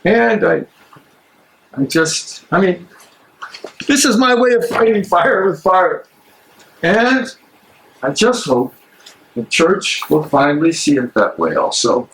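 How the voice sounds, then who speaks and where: An actor playing an anxious but resolute German theologian in a stage reading, with a halting, pleading delivery. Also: male voice, sits high, very animated, a bit bright, slight background noise, full character voice, rough recording.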